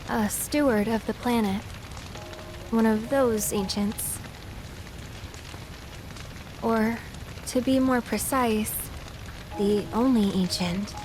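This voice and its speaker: An actress playing a gentle, earnest young woman in a video game, speaking softly and sincerely. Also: fairly high pitch, noisy background. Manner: soft, halting, sincere. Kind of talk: cinematic video game voice acting.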